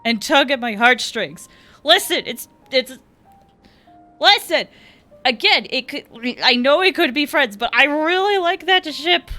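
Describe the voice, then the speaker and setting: A woman streaming a game, reacting in a gushing, excitable way with many fillers. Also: high voice, a little slow. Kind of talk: casual gameplay commentary. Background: game music.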